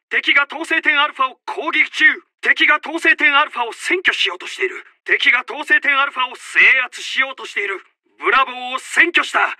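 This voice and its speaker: High-pitched, urgent army officer. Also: male voice, bright, forceful tone, no room sound, studio quality, exaggerated voice. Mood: grave, urgent, then commanding, proud. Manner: commanding, intense, urgent. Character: urgent male Japanese army officer announcing battle updates. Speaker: battle announcer. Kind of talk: military video game announcements, short barked lines.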